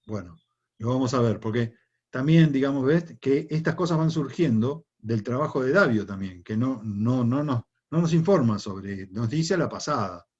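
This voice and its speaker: A man's voice: medium pitch, animated, normal speed, a little mellow, husky, highs cut off. A man with an Argentine accent teaching a history class, lecturing conversationally and often checking in with rhetorical questions. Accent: Argentine Spanish.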